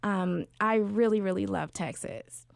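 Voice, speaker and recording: A woman's voice: mid-range voice, unhurried, gravelly, no echo. A woman from California hosting an interview podcast, asking friendly, enthusiastic questions with plenty of fillers. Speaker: podcast host.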